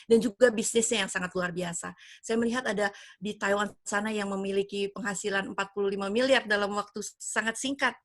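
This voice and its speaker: A woman's voice: medium pitch, fairly even intonation, brisk, no echo, studio quality. A woman in her fifties from Padang, hosting an online business presentation on a video call in an enthusiastic, persuasive way with many fillers. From Indonesia (Padang). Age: 50s. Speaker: event host. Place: home, lives in the United States.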